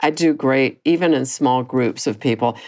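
A woman in her sixties hosting a personal podcast, talking candidly and reflectively with a low voice that does not carry, often restarting her phrases. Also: moderate pace, a little mellow. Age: 60s. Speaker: podcast host.

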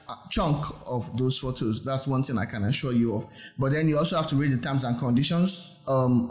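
A man's voice: mid-range voice, darkish tone. A man with a Nigerian accent presenting an informal tutorial, explaining in a conversational, reassuring way and repeating points for emphasis. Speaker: host presenting software reviews. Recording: roomy, echoing sound.

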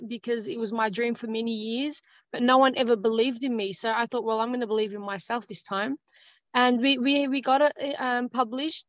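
Australian woman, hesitant, rather high voice. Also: no room sound. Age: 30s. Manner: rambling, hesitant with many ums. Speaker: guest author. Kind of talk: casual interview chat. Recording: dry, dull lo-fi sound.

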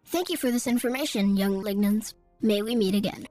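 A man's voice: sits high, brisk, darkish tone, dry recording, soft background music, pitched above the performer's own voice, close to an everyday voice. A man voicing a group of tiny, fluffy forest creatures in a storybook reading. They talk in a prim, chattering way. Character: tiny fluffy gray tree creatures, proud, clever.

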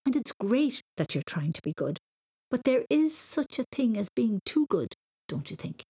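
A woman voicing a gentle, affectionate father talking patiently and fondly in a children's audiobook. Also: a little high, big pitch swings, normal speed, husky, pitched above the performer's own voice. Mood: reassuring. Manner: patient, explanatory, fond.

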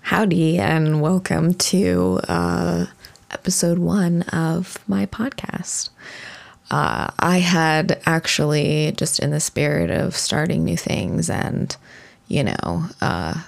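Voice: mid-range voice, takes it slowly, creaky. A podcast host talking casually on their own, rambling with lots of fillers and restarts. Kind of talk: casual solo podcast monologue.

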